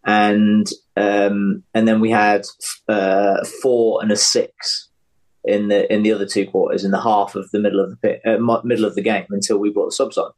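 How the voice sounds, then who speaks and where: A man with a fairly low voice talks as a sports analyst on a podcast. His delivery is conversational, working through tactics and numbers in a casual way. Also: level tone, normal speed, a little mellow, poor audio.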